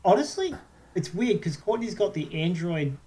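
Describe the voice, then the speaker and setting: A man's voice: high-pitched, sing-song, moderate pace, mellow. An Australian man in casual podcast conversation, explaining things chattily and often repeating his words as he goes. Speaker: podcast co-host. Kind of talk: casual chat.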